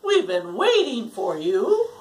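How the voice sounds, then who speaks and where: A woman voicing a giant talking insect from a children's storybook, calling out in loud, drawn-out, eager tones. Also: fairly high pitch, huge pitch swings, far from the mic, full character voice. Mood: eager, looming.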